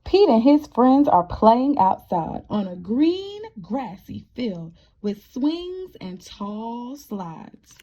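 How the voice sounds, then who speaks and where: A woman reads to young children in a fairly high voice at a slow, deliberate pace. She is expressive and encouraging, turning to the listeners with questions like a classroom teacher. The recording sounds a little dull and lo-fi. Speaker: teacher reading a picture book aloud.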